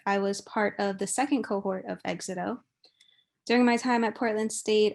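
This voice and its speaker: A woman's voice: medium pitch, gravelly. A young adult woman from Hawaii, giving an informal, chatty and giggly speech as a guest speaker. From Kauai, Hawaii.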